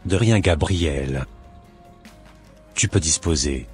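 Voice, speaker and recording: A man's voice: very deep voice, animated, takes it slowly, a little mellow, faint music behind, exaggerated voice. A man playing formal, self-assured men in a cartoon, with a stiff scripted delivery. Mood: approving. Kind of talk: animated cartoon dialogue.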